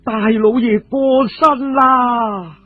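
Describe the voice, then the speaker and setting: A man's voice: very high voice, steady tone, extremely slow, no echo, voice pushed up, exaggerated voice. A man voicing a flustered, loyal guard in a Cantonese storybook tale, urgent and deferential. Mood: alarmed. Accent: Hong Kong Cantonese. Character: flustered loyal young male guard bringing urgent news. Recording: tinny radio-like sound with music behind.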